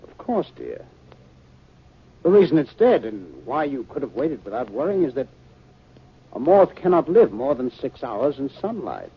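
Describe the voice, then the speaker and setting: Husky, dramatically swooping radio scientist. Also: male voice, medium pitch, sing-song, normal speed, pauses often, full character voice. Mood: calm lecturing. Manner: theatrical, explanatory, soothing. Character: concerned scientist husband, learned, patronizingly calm. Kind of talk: 1950s radio drama acting. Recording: muffled 1950 radio broadcast.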